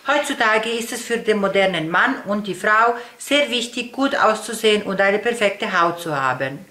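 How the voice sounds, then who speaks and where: A woman's voice: medium pitch, expressive, normal speed, sharp tone. A woman in her thirties vlogging to a handheld camera, brash and sarcastic with crude jokes. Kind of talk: brash comic vlog chat. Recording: echoey room with faint noise.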